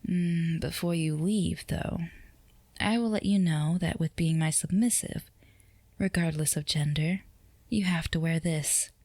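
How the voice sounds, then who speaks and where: A dominant, flirtatious female boss, acted by a woman in a roleplay ASMR drama. Her voice is low and soft, dipping into whispers, and recorded very dry and close. She sounds teasing, self-assured and used to being obeyed. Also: a little slow, no room sound. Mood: pleased, composed.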